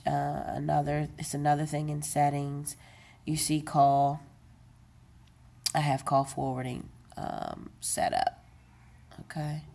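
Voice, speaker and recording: A woman's voice: low-pitched, warm tone. A woman giving an unscripted how-to demonstration of a gadget, talking casually with many fillers and self-corrections. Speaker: tech video presenter.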